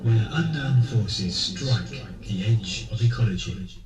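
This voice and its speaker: A man's voice: on the low side, animated, slow, background noise. A man announcing a dramatic scripted intro tagline for a programme. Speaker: announcer of the show's ident. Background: prominent music.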